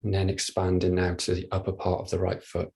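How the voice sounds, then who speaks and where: A man leading a guided body scan meditation, speaking gently and without hurry, leaving long pauses. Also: low voice, completely flat delivery, gravelly, husky. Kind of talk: guided meditation, soft instruction.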